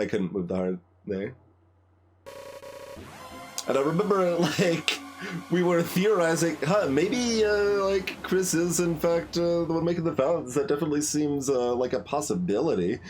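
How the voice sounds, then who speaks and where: A man giving casual game commentary as a streamer, halting and thinking aloud as he chats with viewers. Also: a little high, a little mellow, slight background noise. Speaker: livestreamer, game playthrough host.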